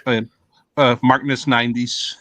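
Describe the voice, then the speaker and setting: A man's voice: medium pitch, flat delivery, noisy background. A man chatting casually on a group call, stumbling over his words with many fillers. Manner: halting, many fillers and restarts. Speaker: guest, YouTuber. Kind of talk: casual chat.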